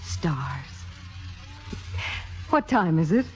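An actress playing a young, ambitious, coolly calculating stage actress in a 1950s radio drama, poised and theatrical. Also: female voice, low voice, very animated, very slow, soft background music, heavily acted. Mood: composed, expectant. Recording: muffled vintage radio broadcast.

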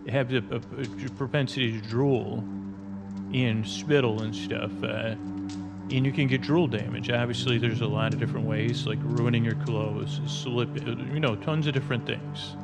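A man's voice: mid-range voice, steady tone, measured pace, vocal fry. A middle-aged man hosting a podcast, delivering a rambling, whimsical, hesitant monologue that keeps interrupting and apologizing for itself, with sound-design effects. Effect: sound-design effects over the episode. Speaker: podcast host. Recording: dull lo-fi sound under loud music. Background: loud music.

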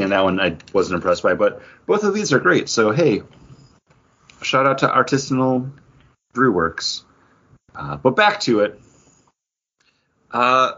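A man's voice: lowish voice, fairly bright tone, muffled recording. A man co-hosting a podcast, chatting casually about comic books in a rambling, conversational way. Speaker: podcast co-host. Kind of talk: casual comic book review chat.

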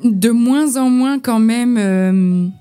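A woman's voice: on the high side, extremely slow, mellow, clear, resonant tone, faint music behind. A young woman from the Vosges in France, a podcast guest talking casually about acting, with lots of fillers and restarts. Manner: chatty, many restarts and fillers. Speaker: podcast guest, actress and poet. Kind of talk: casual chat. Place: informal gathering over beer.

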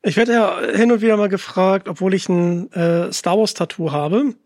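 Podcast host, casual interview chat, mid-pitched voice, very dry close sound. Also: moderate pace, darkish tone, no room sound.

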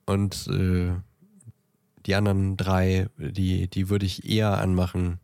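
A man chatting casually as a podcast co-host, thinking aloud in a halting way as he ranks things. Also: low-pitched, monotone, creaky, darkish tone, smooth, dry recording, studio quality. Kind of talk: casual chat, ranking films.

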